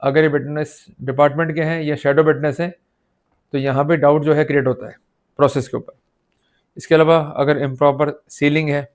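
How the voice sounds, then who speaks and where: A man with an Indian accent teaching law in an explanatory, didactic lecture style. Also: on the high side. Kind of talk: explanatory legal lecture mixing Hindi and English.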